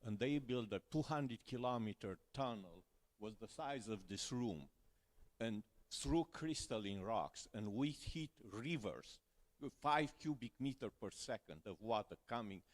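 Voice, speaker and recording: A man's voice: medium pitch, expressive, a little slow. A man from Romania with a Romanian accent, giving a conference presentation in careful, slightly broken English. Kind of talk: academic conference talk.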